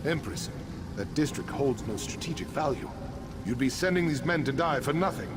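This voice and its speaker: A man's voice: medium pitch, expressive, moderate pace, very noisy, natural voice. A man playing a stern, defiant army general in a fantasy video game, blunt and disdainful. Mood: defiant, exasperated. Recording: loud music over the voice.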